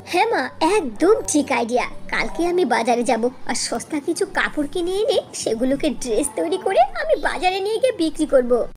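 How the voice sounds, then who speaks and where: A woman's voice: high voice, big pitch swings, normal speed, darkish tone. A woman voicing a dutiful young village daughter-in-law in a cartoon, earnest, eager and respectful.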